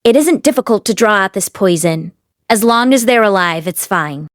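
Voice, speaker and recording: A stern male master of a fantasy audiobook, voiced by a woman. He is aloof and indifferent, delivering his words flatly and dismissively. The sound is dry and close. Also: mid-range voice, moderate pace, no echo, close to an everyday voice. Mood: flat, composed.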